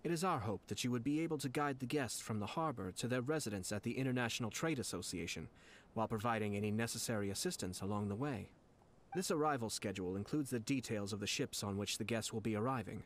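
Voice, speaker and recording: An actor playing a composed, formal young nobleman official who speaks politely and in measured phrases. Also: lowish voice, a little hiss or hum, natural voice.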